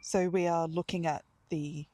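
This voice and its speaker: A woman's voice: lowish voice, steady tone, unhurried, background noise. A woman with an Australian accent chatting casually in a dry, understated way, as a podcast host out walking. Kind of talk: casual unscripted-sounding chat on a walk. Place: outdoors, walking along a small-town street.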